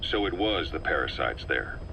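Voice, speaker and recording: Very deep-voiced stern military officer. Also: male voice, expressive, moderate pace, creaky, bright, forceful tone, slight background noise, full character voice, low-quality recording. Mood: probing, terse. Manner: terse, matter-of-fact questioning. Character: stern middle-aged male military intelligence officer, probing and terse. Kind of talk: dramatic game voice acting, interrogating dialogue.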